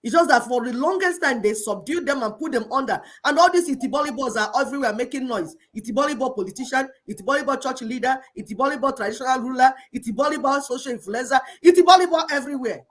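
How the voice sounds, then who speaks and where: A middle-aged woman with a Nigerian Igbo accent delivers fervent commentary. Her voice sits a little high. She drives her points home with repetition and a preaching rhythm. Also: quick pace, hardly any pauses. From Igbo people of Nigeria. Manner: emphatic, preaching cadence with repetition. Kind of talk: impassioned commentary.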